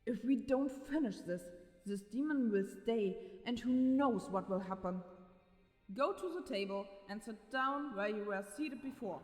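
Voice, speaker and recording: A woman's voice: fairly high pitch, a little slow, close to an everyday voice. Woman acting stern young woman, cold, commanding, blunt, horror story dialogue, heavy echo. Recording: echoey with long reverb tail.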